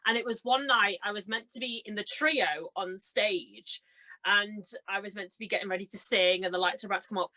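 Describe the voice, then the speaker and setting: Bright, chatty young woman. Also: mid-range voice, brisk, rough recording. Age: young adult. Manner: chatty, animated storyteller who acts out reported dialogue; giggly, self-deprecating. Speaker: podcast guest, stage and radio drama performer. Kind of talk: casual chat, telling anecdotes.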